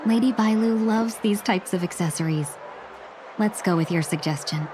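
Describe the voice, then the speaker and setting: An actress voicing a gracious, refined young woman in a video game, speaking politely in a measured, gentle, wistful way. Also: medium pitch, noisy background, close to an everyday voice. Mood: warm, gracious. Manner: polite, measured, softly formal. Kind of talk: English video game voice acting. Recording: game audio with music underneath. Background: background music.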